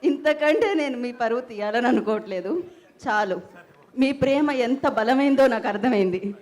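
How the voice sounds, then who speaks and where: A woman's voice: fairly high pitch, expressive, normal speed, darkish tone. A woman hosting a live stage event in a playful, teasing, chatty way. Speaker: event host, anchor.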